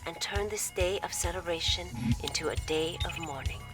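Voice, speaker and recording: An actress playing a poised, devoted military logistics officer in a video game cutscene, speaking in a formal, measured and deferential way. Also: female voice, fairly low pitch, lightly acted. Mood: formal, resolute. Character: poised, devoted female imperial logistics officer briefing commanders. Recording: loud music behind the voice.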